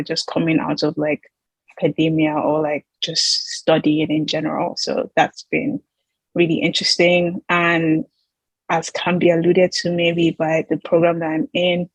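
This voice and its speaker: A woman in her twenties, originally from Nigeria, introducing herself on a panel over a video call, speaking thoughtfully with pauses. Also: on the low side, dry recording, low-quality recording.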